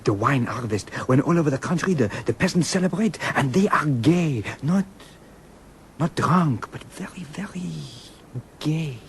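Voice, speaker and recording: A man's voice: a little high, expressive. A man playing a gallant, hesitant French duke with a French accent in an audio drama. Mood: excited, tender. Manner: halting, courtly. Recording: faint background noise.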